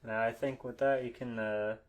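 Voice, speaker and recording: A man's voice: mid-range voice, steady tone. Wisecracking man on a comedy podcast. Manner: wisecracking, teasing, quick to laugh. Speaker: podcast co-host. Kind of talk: casual joking banter.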